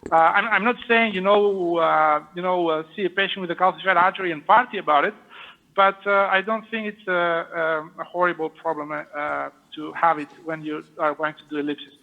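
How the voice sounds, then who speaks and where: A man with a French accent explaining a surgical procedure live and answering questions, halting with many fillers. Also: high-pitched, fairly even intonation. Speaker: surgeon demonstrating a live case. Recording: muffled lo-fi audio.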